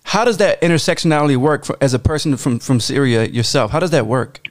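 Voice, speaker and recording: A man's voice: mid-range voice, quick pace. An American man hosting a podcast, curious and rambling, often breaking into laughs. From United States. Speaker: podcast host. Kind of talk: casual chat.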